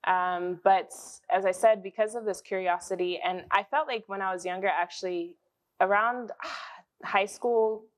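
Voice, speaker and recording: A woman with an American accent, a guest on a studio talk show, answering thoughtfully and pausing often to choose her words. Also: medium pitch, fairly even intonation. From USA (Denver, Colorado), Rwandan parents. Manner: thoughtful, with frequent ums and soft affirmations. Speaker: guest, entrepreneur and mother. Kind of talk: conversational interview answers.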